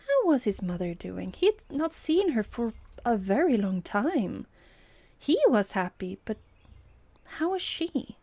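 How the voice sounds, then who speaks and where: A woman streamer improvising a bedtime story in a soft, hushed voice that often drops to a whisper. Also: fairly high pitch, no echo.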